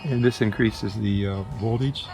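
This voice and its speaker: A man casually demonstrating a vintage radio in a halting, unhurried way, as a collector explaining his set. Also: fairly low pitch. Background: vintage radio playing music and broadcasts.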